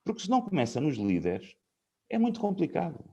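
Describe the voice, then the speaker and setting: A man's voice: a little high, huge pitch swings, a little fast, dark tone, slightly rough. A man in his fifties hosting a casual conversation from home, explaining his views at length with many fillers. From Portugal. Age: 50s. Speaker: host.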